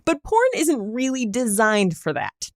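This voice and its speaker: Female podcast host, conversational interviewer, expressive pitch movement, very dry studio sound. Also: unhurried, no echo.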